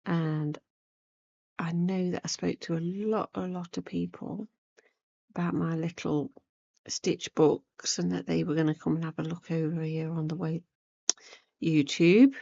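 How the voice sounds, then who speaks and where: A woman with a croaky, hoarse voice from a cold, giving a casual, chatty craft tutorial and thinking aloud as she goes. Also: on the low side, little pitch movement, unhurried, long pauses, mellow, muffled recording. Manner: unscripted, rambling, thinking aloud while working. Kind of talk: casual chatty needlework demonstration.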